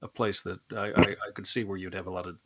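A man's voice: low voice, fairly even intonation, fast, heavy background noise. A man co-hosting a podcast, asking questions in a hesitant way, stacking ums and repeated words. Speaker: podcast host. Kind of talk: casual interview. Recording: muffled lo-fi sound.

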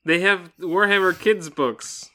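Guy cohosting a podcast, wry and casual, slow-ish talker with a crisp bright voice. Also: high voice. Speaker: podcast co-host. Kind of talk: casual book discussion.

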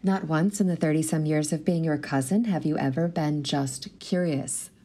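A woman's voice: sits low, moderate pace, warm tone. A woman in her thirties playing an anxious, self-doubting new schoolteacher with a wry edge, in a naturalistic audio drama. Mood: exasperated. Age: 30s. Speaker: actor in an audio play.

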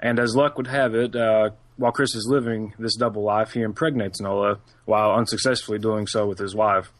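A man with a self-described monotone voice, co-hosting a casual podcast and reading out a film synopsis. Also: fairly low pitch, a little fast, no echo.

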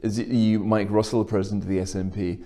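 A man from Scotland speaks in a fairly low, mellow voice. He argues a political case fluently, stacking point on point as a discussion guest. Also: level tone, quick pace. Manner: fluent, argumentative, building points step by step. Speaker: guest commentator.

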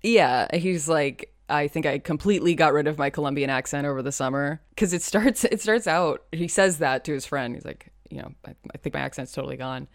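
A woman's voice: sits low, a little fast, few pauses, no room sound, clean studio sound. A woman co-hosting a podcast, chatting casually about a TV episode and joking as she acts out lines. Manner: laughing, jokey, acts out quotes. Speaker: podcast co-host. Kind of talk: casual chat recapping a TV episode.

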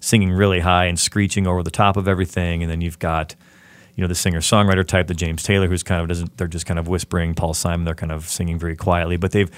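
A man interviewing a fellow musician in relaxed, talkative chat, asking long questions. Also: deep voice, fast, clean tone.

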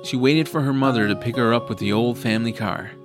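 A man's voice: mid-range voice, quick pace, music in the background. A man narrating a scripted comic fantasy story for an audio drama.

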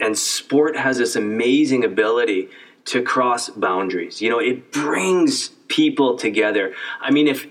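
A middle-aged man from Canada interviews a guest in an earnest, searching way, often repeating words as he feels out his questions. His voice sits low, and he sounds a little distant from the mic. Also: fairly bright tone. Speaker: host. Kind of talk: casual sit-down interview.

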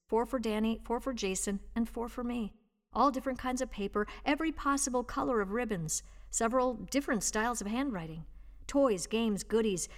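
Female reader for audio narration: higher-pitched voice, measured and expressive storytelling delivery. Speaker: volunteer reader and host of an audio reading service. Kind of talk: reading a personal essay aloud, scripted narration.

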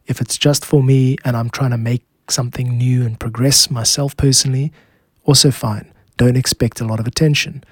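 A man with a South African accent, hosting a music podcast in a measured, explanatory narration. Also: mid-range voice, fairly even intonation, husky, no room sound, clean studio sound. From Cape Town, South Africa. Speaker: podcast host, music producer and composer.

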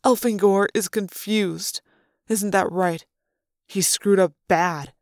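A woman voicing a smug, sneering adult man who taunts in a slow drawl. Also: medium pitch, steady tone, a little mellow, dry recording, studio quality. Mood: mocking.

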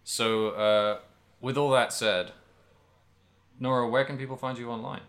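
A man's voice: medium pitch, fairly even intonation, unhurried, a bit bright. A man co-hosting a casual video game podcast, thinking aloud in a hesitant, rambling way. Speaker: podcast co-host.

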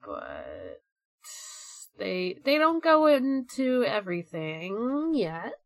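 A woman podcast co-host in casual fan chat. Her voice is gravelly with vocal fry and recorded very dry, and she rambles along at a slow pace. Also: medium pitch, huge pitch swings, fairly bright tone, no room sound. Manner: rambling, peppered with "like".

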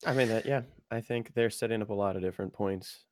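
A man's voice: fairly low pitch, quick pace, darkish tone, dry recording, clean studio sound. A man in a casual group conversation, speculating in a laid-back way and often trailing off mid-sentence.

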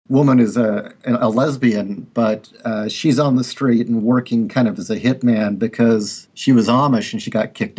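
Man, guest writer, earnest, reflective, halting speech. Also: mid-range voice, a little mellow. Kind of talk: casual interview talk.

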